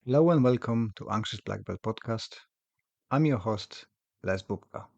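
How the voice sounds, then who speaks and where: Croaky low-voiced man hosting a podcast. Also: moderate pace, no room sound, highs cut off. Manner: relaxed, rambling explanation with frequent fillers. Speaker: podcast host and karate instructor. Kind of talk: casual solo podcast talk. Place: home.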